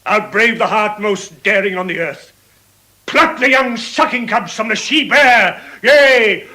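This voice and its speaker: An actor playing a proud, ardent warrior prince, declaiming verse in a grandiose classical drama style. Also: male voice, high-pitched, bright, forceful tone, exaggerated voice, poor audio. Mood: boastful. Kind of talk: declamatory Shakespearean verse acting.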